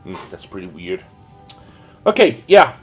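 Male let's play host, young adult, mid-pitched, sniffly, loose sweary chat over faint game music. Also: wildly swooping pitch, measured pace, husky. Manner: rambling, sweary. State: sniffly from the end of a cold. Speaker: gaming video host. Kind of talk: casual let's play commentary. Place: indoors at a desk, webcam view.

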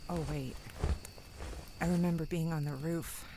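A woman playing a gentle adventurer regaining her memory in a fantasy audio drama, with faint ambience behind. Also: low-pitched, fairly even intonation, measured pace, a little hiss or hum, poor audio. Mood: wistful, relieved.

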